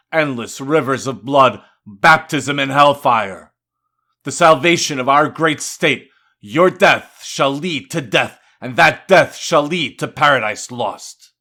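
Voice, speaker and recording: A man reads poetry aloud with dramatic weight. His voice is forceful and sharp-edged at a middling pitch. The delivery is solemn and declamatory, with emphatic phrasing. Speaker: poetry reader. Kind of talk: dramatic scripted poetry reading.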